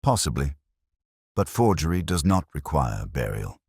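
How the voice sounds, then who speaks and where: A man playing an austere, commanding detective in an audiobook story, speaking in measured, clipped pronouncements. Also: extremely low pitch, sing-song, a little mellow, breathy edge, no room sound, clean studio sound, full character voice. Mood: coolly analytical.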